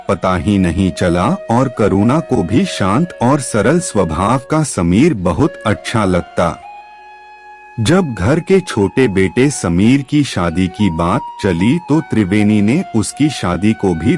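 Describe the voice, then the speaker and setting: A man tells a scripted family drama in a low-pitched voice with lively swings of intonation. He takes his time over each sentence, and music plays clearly behind him. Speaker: storyteller. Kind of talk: scripted dramatic narration of a family moral story. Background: background music.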